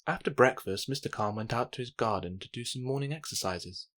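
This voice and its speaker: A man narrating a children's bedtime story in an expressive, measured read-aloud style. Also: medium pitch.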